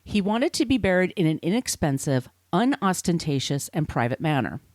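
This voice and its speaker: A woman's voice: lowish voice, big pitch swings, moderate pace, a little mellow, no room sound, studio quality. A woman hosting a podcast, telling a researched story in a conversational, irreverent way with casual swearing. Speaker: podcast host.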